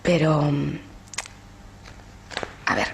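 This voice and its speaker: A woman playing an interviewer in a short video, questioning calmly with slight hesitations. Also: very slow.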